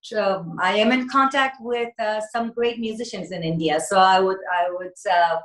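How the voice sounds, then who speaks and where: A woman's voice: mid-range voice, moderate pace, a bit bright. Hesitant woman singer in an echoey room. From United States. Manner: hesitant, many fillers and restarts. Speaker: singer being interviewed. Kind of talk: casual interview answers.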